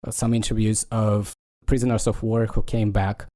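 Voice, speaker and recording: Man, halting and mellow. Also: fairly low pitch, level tone. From Ukraine. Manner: halting, searches for words. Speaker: podcast co-host. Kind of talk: casual discussion.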